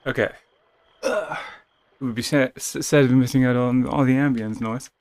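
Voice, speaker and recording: A man's voice: medium pitch, steady tone, moderate pace, darkish tone. A man reading a text game aloud as theatrical narration, stumbling and rereading now and then, with casual asides. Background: music.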